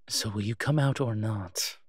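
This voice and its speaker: A man acts out a male incubus demon professor for an intimate audio roleplay. The voice is low and husky, recorded very dry and close. He is proud and teasing, speaking slowly with hesitant pauses and a flirtatious edge. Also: no echo, heavily acted. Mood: awkwardly flirtatious.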